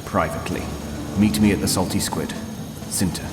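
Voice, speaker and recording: A man acts a clandestine informant in a sci-fi audio drama. His deep voice is terse and urgent, echoing under loud music. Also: fairly even intonation, moderate pace, close to an everyday voice. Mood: secretive, urgent. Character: secretive male informant arranging a meeting.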